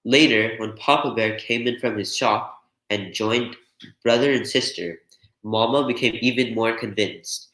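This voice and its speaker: A man's voice: mid-range voice, fairly even intonation, unhurried, a bit bright. Man reading a storybook in echoey room. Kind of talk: children's picture book read-aloud.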